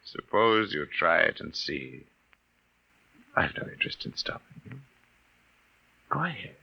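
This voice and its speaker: Smug Venusian villain, 1950s radio style: very deep, animated, mocking, on a muffled old recording. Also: male voice, unhurried, vocal fry, breathy edge, full character voice. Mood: smug taunting. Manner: taunting, gloating, theatrical. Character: menacing Venusian invasion leader, male, smug and mocking. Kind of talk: 1950s radio drama acting. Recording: dull vintage radio drama recording.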